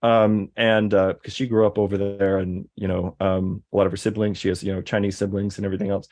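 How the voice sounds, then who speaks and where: A man's voice: deep voice, little pitch movement, a little fast, darkish tone. A man in casual conversation, rambling, with many fillers and restarts. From American Deep South. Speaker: guest.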